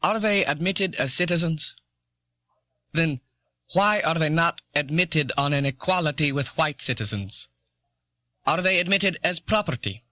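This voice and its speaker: An actor playing an indignant eighteenth-century American delegate, putting formal, challenging rhetorical questions in a dramatised historical quotation on a dull old cassette. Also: male voice, a little high, expressive, unhurried, bright, forceful tone, no room sound.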